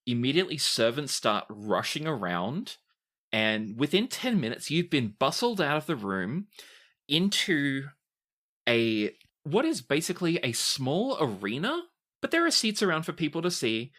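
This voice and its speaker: A woman with a very low voice narrates a tabletop game as its game master. Her pitch moves a lot as she tells the story at an unhurried pace. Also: sing-song, a bit bright.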